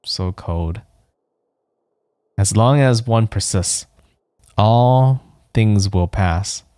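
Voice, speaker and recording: A man's voice: lowish voice, very slow, dark tone, smooth, no room sound, studio quality. A man reading as a weary, dying woman diarist. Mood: weary despair. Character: dying, weary woman writing a despairing diary.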